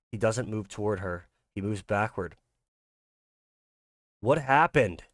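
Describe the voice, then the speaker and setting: Male horror narrator, lowish pitch, dry sound, scripted scene-setting delivery. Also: no room sound. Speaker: narrator and creator.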